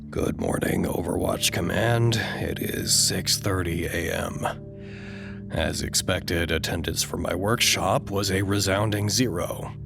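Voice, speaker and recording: An actor playing a fussy, self-important, easily wounded doctor in an audio drama, with a pompous, theatrical delivery. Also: male voice, low voice, unhurried, gravelly, over music, full character voice. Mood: weary, sullen. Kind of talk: dramatic monologue, audio log.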